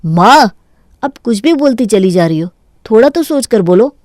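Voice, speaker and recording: A woman plays a young husband in a cartoon tale. The voice is mellow and dark, calm and reasoning, recorded very dry. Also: on the high side, moderate pace, dry recording, close to an everyday voice. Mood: reasoning reproach. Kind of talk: Hindi cartoon story voice acting.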